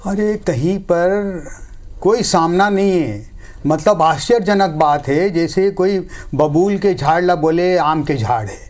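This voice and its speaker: Male political spokesman, Chhattisgarhi accent, fairly high voice, argumentative panel-debate delivery. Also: slow, a little mellow.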